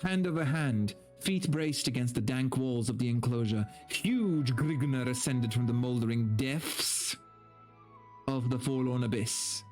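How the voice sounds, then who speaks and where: A man giving a dramatic, deliberate story reading, dropping into casual wry asides. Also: mid-range voice, darkish tone, faint music behind. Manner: theatrical, deliberate, breaks off into casual wry remarks. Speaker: streamer reading aloud.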